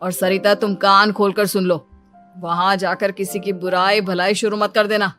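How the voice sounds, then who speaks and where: A woman's voice: medium pitch, little pitch movement, normal speed, a bit bright, soft background music, lightly acted. Woman voicing a stern scolding husband. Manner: scolding, firm. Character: stern husband, young man, short-tempered.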